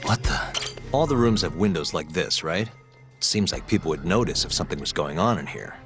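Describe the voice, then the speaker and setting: An actor playing a sharp young male private investigator who asks questions in a calm, probing, methodical way, in a game scene with music behind. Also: deep voice, brisk. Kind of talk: game dub acting.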